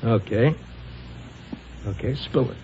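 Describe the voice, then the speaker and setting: An actor playing an earnest, wounded boxer down on his luck in an old radio drama, stammering and repeating himself in rough street talk. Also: medium pitch, takes it slowly, mellow, natural voice. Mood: hurt, puzzled. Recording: dull, noisy vintage radio recording.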